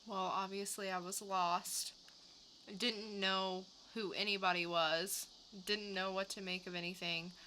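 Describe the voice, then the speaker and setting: A woman's voice: mid-range voice, fairly even intonation, unhurried, sharp tone, a little hiss or hum. A woman co-hosting a podcast, recapping and reviewing in a casual, conversational way. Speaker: podcast co-host reviewing comics.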